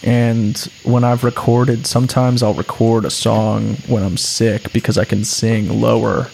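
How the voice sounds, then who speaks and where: A man's voice: mid-range voice, flat delivery, measured pace, creaky, a little mellow, a little hiss or hum, low-quality recording. A young man chatting casually in a laid-back, easygoing way. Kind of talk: casual chat.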